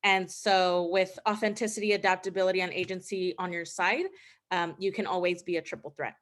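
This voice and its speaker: A woman's voice: on the low side, level tone, brisk. A woman in her twenties giving a casual online talk from home as a guest speaker, chatty with frequent ums. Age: 20s. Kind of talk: online presentation.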